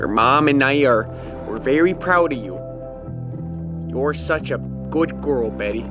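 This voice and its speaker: A tired, caring father, acted by a man in a radio drama. His voice is medium in pitch, set over clearly audible music. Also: animated, unhurried, lightly acted. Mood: tender. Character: weary, loving suburban father.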